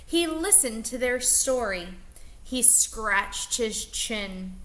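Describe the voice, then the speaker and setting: Woman storyteller, slow pace, slightly high pitch, expressive, stretched words, teacher reading to children. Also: low-quality recording. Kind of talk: storybook read-aloud for young children.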